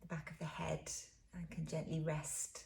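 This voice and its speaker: A woman leading a guided body scan as a relaxation teacher, speaking in a soothing, unhurried, gentle way, with soft breathy phrase endings. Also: low-pitched, monotone, whispered.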